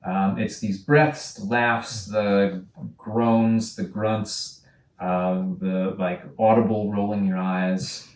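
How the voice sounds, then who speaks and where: A man giving informal director's notes to actors, halting and thinking aloud as he goes, sometimes acting out sample lines. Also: on the low side, flat delivery, a little mellow. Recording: roomy, distant mic, faint music.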